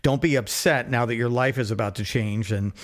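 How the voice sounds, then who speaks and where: A man's voice: mid-range voice, brisk. A middle-aged man co-hosting a podcast in a casual phone chat, with a wry, sarcastic and teasing manner. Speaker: podcast co-host.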